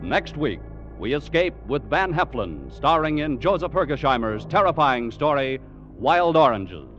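A male announcer speaks in a formal, theatrical 1940s radio manner. His voice is lively and slightly high, with music behind it and the dull sound of an old broadcast. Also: measured pace. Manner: formal, dramatic delivery.

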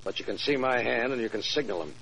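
An actor playing a suspicious, menacing race-car owner, hard and terse, in 1940s radio drama style. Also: male voice, mid-range voice, fast talker, creaky, bright, forceful tone, poor audio. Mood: accusing. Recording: old radio recording, faint noise.

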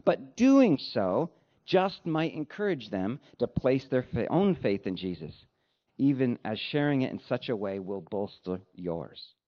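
A man delivering a sermon as a pastor, speaking in an earnest, conversational way with deliberate phrasing. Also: mid-range voice, sing-song. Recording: dull, lo-fi sound.

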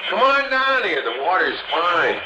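An actor playing a trusting, easygoing traveler in a 1940s radio drama, talking in breezy slang. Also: male voice, high-pitched, very animated, unhurried. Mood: friendly, unsuspecting. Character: trusting, easygoing male traveler, friendly and unsuspecting. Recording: dull, very noisy old radio recording.

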